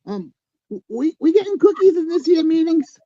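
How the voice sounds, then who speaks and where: A woman acts a plain-spoken rural gossip in a staged comedy. The voice is high, folksy and lively in pitch. The sound is dull and muffled. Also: normal speed, no echo. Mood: plaintive, blunt. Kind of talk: staged reading of a comic play.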